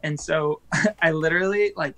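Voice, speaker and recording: A man with a somewhat high voice talks casually as a musician guest in an interview. He rambles easily, full of fillers and little laughs. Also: moderate pace, pushed and bright.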